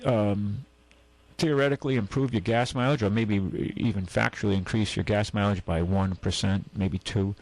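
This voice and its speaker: A man's voice: lowish voice, fairly even intonation, moderate pace, a little mellow, low-quality recording. A man hosting a call-in radio show, giving folksy, rambling car advice and correcting himself as he goes.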